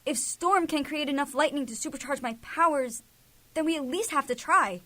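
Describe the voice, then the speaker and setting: A woman playing a determined, feisty young superhero in an audio drama, speaking briskly and resolutely. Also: high voice, bright, forceful tone, no room sound.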